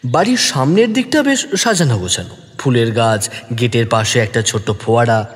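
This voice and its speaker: A man narrating a detective story in the first person, expressive and deliberate, also speaking the detective's lines. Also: mid-range voice, long reverb tail. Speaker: narrator who also voices the young detective.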